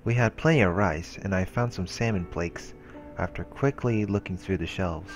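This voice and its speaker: A let's play host reads a story's narration aloud in a steady way. He drops casual comments between passages. His voice is very low, and faint game music plays behind him. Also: moderate pace. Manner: steady reading with casual asides.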